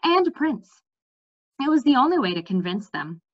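A woman voicing a brisk, practical, outspoken young princess in a storybook, matter-of-fact and emphatic. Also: high voice, very animated, voice pushed up. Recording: slightly dull webcam audio.

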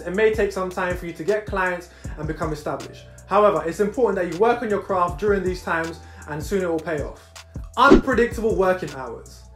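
A young man from Brazil hosting a show, presenting a script to camera in an upbeat, punchy way from a small indoor room. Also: high voice. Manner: upbeat, punchy list delivery. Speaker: host. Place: small indoor room against plain wall. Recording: echoey room, light music behind.

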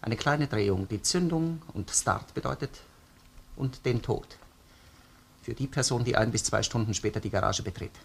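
A man's voice: mid-range voice, vocal fry, slight background noise, close to an everyday voice. A man with an Austrian accent playing a relentless, sardonic police detective who questions a suspect insistently in a crime radio play. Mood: probing, insistent. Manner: probing, insistent, sardonic, deliberate phrasing. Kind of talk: German-language crime radio play acting.

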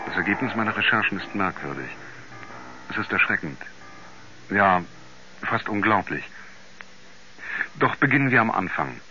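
An actor playing a writer soberly recounting his astronaut friend's story in a 1970s radio drama, in a measured, grave manner. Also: lowish voice, lively intonation, pushed and bright, dull audio. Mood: sober, foreboding. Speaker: narrator. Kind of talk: 1970s German radio play narration. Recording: band-limited 1970s radio recording with music.

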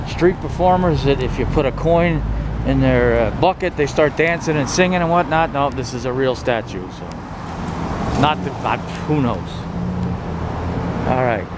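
Man narrating over loud street noise. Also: mid-range voice, moderate pace. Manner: offhand, wry joking. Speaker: vlogger giving a walking tour. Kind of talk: casual walking-tour commentary, reading signs aloud. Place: outdoors on a town shopping street. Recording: noisy outdoor street recording, traffic ambience. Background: loud street and car noise.

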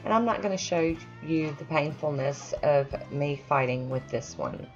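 A woman's voice: low voice, expressive, measured pace, lo-fi sound, soft background music. A woman chatting casually over her own nail painting, self-deprecating with friendly asides. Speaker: nail art vlogger. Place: home manicure station, voice-over.

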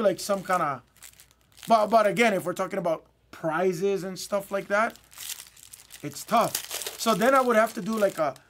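A man's voice: sits high, fairly bright tone, a little hiss or hum. A man with a Hawaiian accent chatting casually as a livestream host. He rambles, repeats his words and laughs often. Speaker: livestream host of card breaks.

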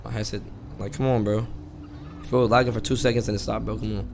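A man's voice: fairly low pitch, fairly even intonation, fast. A young man from California chatting casually in slang during online role-play, playfully argumentative and repeating himself, on a noisy headset. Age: young adult. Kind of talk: casual slangy in-character chat. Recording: noisy game voice chat, background noise.

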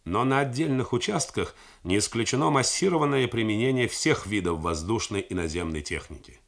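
A man's voice: fairly low pitch, lively intonation, a little fast, gravelly, pitched above the performer's own voice. A man reading the part of an earnest intelligence officer delivering reports with keen zeal. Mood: reporting.

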